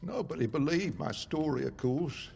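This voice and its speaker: An actor playing a very old, haunted survivor, telling his tale gravely and theatrically in an audio drama. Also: a little high, lively intonation, moderate pace, darkish tone, a little hiss or hum. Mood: grave, resolved. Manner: grave, theatrical, emphatic storytelling. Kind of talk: dramatic audio fiction narration. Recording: music behind the voice.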